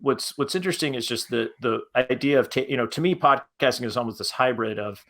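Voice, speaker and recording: A man speaking as a podcast creator in a panel discussion, with a halting, thoughtful delivery that often repeats words. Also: medium pitch, a bit bright. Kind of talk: panel discussion, unscripted talk.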